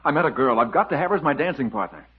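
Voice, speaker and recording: An actor playing a cocky, pushy charmer of a famous dancer in a 1950s radio drama, breezy and insistent. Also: male voice, on the high side, lively intonation, very fast, slightly rough. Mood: groggy, insistent. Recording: dull, lo-fi 1950s radio broadcast.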